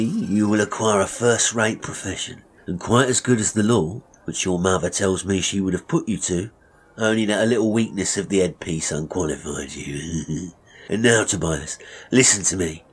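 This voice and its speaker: A man's voice: sits low, normal speed, husky. A man voicing a menacing, mocking murderous barber in a Victorian melodrama story. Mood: sinister. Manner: mocking, threatening, chuckling, oily when polite. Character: menacing, sneering murderous male barber, gloating villain.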